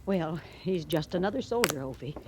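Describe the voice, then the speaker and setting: A fussy middle-aged frontier mother in a radio western. She gushes and scolds in folksy exclamations, her mid-pitched voice very lively. Clear noise sits on the old recording. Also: moderate pace. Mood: fussy scolding. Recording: noisy vintage radio recording.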